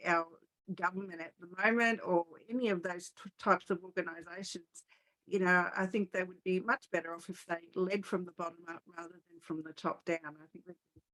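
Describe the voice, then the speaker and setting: A woman with a voice a little lower than most hosts a podcast interview. She speaks conversationally, feeling her way through each thought with tentative phrasing before reaching her question. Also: steady tone, fairly quick, a bit bright, low-quality recording.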